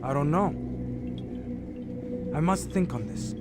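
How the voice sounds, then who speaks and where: Cautious, high-voiced assassin brother. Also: male voice, animated, slow. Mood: doubtful, cautioning. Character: cautious, earnest male assassin brother, bound by rules. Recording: loud music behind the voice.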